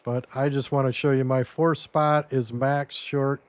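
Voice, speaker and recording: A man losing his voice a little, giving a relaxed step-by-step gameplay tutorial as commentary. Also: mid-range voice, unhurried, smooth. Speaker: game guide creator.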